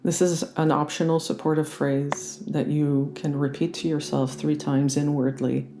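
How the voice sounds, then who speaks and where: A meditation guide leading a guided relaxation in a gentle, soothing and unhurried manner. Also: medium pitch, level tone, slight background noise. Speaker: yoga nidra meditation guide.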